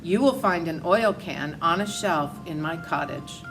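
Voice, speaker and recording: A woman's voice: lowish voice, animated, a little slow, gravelly, music in the background, poor audio. A woman voicing a polite, grateful rusted tin man in a storybook read-aloud, with a plaintive, courteous manner. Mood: plaintive, stiff.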